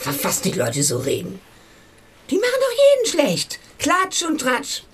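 An actress playing a blunt, dismissive village widow who talks curtly and colloquially in a radio play. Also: female voice, high voice, huge pitch swings, measured pace, a bit bright, slight background noise, heavily acted. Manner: curt, colloquial, disparaging. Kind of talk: German radio play acting.

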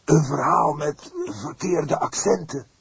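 A fiery, pious father declaims solemnly in an audiobook. His voice is pushed high and forceful, with a husky grain. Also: slow pace, no room sound, heavily acted. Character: fervent, pious long-haired religious father, solemn and preachy. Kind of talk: audiobook character acting.

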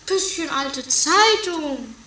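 A performer voicing a bossy, greedy, scheming aristocratic sister in a high, exaggerated scolding falsetto for an improvised trashy horror comedy radio play. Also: expressive, drawn-out words, sharp tone, echoey room, distant mic, exaggerated voice. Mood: gloating, greedy. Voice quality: very high-pitched put-on falsetto.

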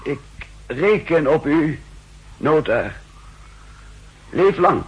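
A very breathy, husky man as a secretive intelligence official in an old radio spy play. He speaks deliberately and haltingly, with a fairly high pitch that moves widely as he confides. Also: lively intonation, muffled recording, exaggerated voice. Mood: earnest, confiding. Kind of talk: 1960s Dutch radio drama acting. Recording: old 1960s radio drama recording.

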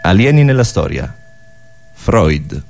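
Low-voiced male sketch announcer. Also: very animated, takes it slowly, creaky. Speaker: radio sketch performer.

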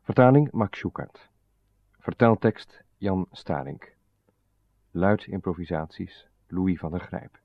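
A man's voice: sits low, expressive, slow pace. A man reading formal scripted announcements as a radio announcer. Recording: slightly dull old radio recording.

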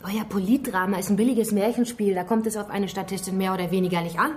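An actress in a radio drama playing a defiant, bitter female activist, sarcastic and quick to swear. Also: mid-range voice, fairly even intonation, a little mellow, natural voice. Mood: bitter sarcasm.

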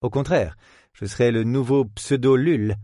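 A man's voice: mid-range voice, dark tone, no echo, clean studio sound, natural voice. A man doing a courteous detective. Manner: measured and courteous. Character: polite, witty male detective in his prime.

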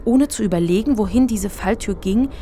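A woman's voice: medium pitch, a little fast, background noise. A woman narrating a children's radio play in a scripted storybook style.

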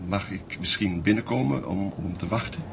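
A man's voice: deep voice, moderate pace, gravelly, noisy background. An actor in a radio drama playing a polite, hesitant man who seeks out old comrades. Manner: courteous, hesitant.

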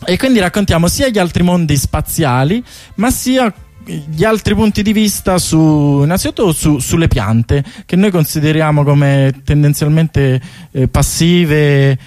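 A man's voice: fairly high pitch, measured pace, a little mellow, dry recording. Informal man chatting over music. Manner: informal, hesitant, rambling explanations with word repetitions. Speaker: guest artist presenting a bike tour project. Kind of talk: casual radio interview chat. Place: radio studio. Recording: music playing behind the voice.

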